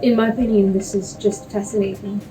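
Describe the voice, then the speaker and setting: A woman's voice: medium pitch, little pitch movement, fairly quick, warm tone, recorded from a distance, soft background music, rough recording. A young woman from Russia, a native Russian speaker, answering as an interview guest and explaining research in plain terms. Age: young adult.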